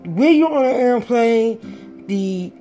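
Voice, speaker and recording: Brief: middle-aged female life coach, raspy and breathy, unhurried, soft music underneath. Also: a little high, expressive. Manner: emphatic, repeats key words. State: dry throat after a stroke. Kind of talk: motivational pep talk.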